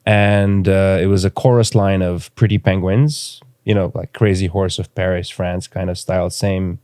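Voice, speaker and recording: Low, even-toned Romanian-born man, smooth voice. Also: dry recording, studio quality. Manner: thoughtful, halting, pauses to choose words. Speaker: podcast guest, filmmaker. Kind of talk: reflective interview conversation.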